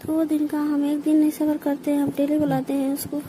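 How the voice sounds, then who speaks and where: She is a woman in her forties with a high-pitched voice. She answers bluntly and defiantly, with little rise and fall. It is an informal phone call with faint noise in the recording. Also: completely flat delivery, a little fast, dark tone. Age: 40s.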